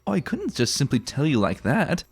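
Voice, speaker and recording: Man voicing a playful, teasing pony girl, raised pitch. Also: wildly swooping pitch, moderate pace, breathy edge, faint music behind, full character voice. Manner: chiding, playful. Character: teasing, playful young female pony scout, breezy and affectionate.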